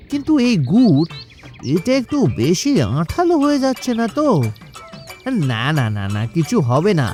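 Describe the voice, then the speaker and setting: A woman voicing a scheming, excitable male village huckster in a cartoon, exclaiming and repeating phrases. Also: mid-range voice, natural voice. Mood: relieved, uneasy. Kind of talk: cartoon voice acting.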